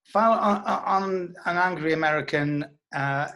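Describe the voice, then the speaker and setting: A man being interviewed, reminiscing in a reflective, halting way and repeating words as he searches for the next. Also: sits high, sharp tone. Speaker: interviewee, writer.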